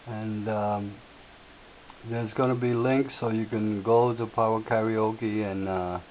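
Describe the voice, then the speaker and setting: A man with a slightly raspy voice, hosting a software tutorial and explaining each step in an unhurried way with filler words. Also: medium pitch. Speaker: tutorial host. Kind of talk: screen-share software tutorial. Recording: tinny lo-fi audio with faint hiss.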